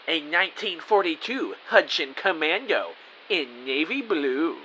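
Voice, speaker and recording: A man playing an earnest, excitable rookie spy who narrates and acts out his own adventure in an audio drama with eager melodrama. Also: a little high, exaggerated voice, rough recording. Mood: awed, eager. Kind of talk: first-person audio drama narration with dialogue. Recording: faint background hiss.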